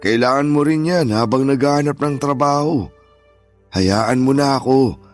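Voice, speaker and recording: A man's voice: medium pitch, normal speed, faint music behind, natural voice. An actor playing an elderly Filipino grandfather, stubborn but joking and reassuring, in radio drama style. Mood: stubborn, dismissive. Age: older. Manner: gentle, reassuring, fatherly.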